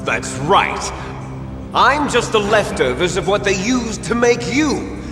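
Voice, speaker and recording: An actor playing a bitter, gloating villain twin with grand ambitions in a video game, theatrical and taunting. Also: male voice, high voice, big pitch swings, slow pace, exaggerated voice. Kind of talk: dramatic video game voice acting. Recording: echoey hall reverb under loud music.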